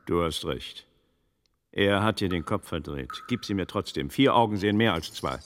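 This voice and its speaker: An actor playing a shrewd, composed scholarly investigator in a German radio drama, speaking deliberately. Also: male voice, low-pitched, animated, a little hiss or hum. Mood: probing. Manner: measured, deliberate, probing questions. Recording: reverberant studio radio play sound.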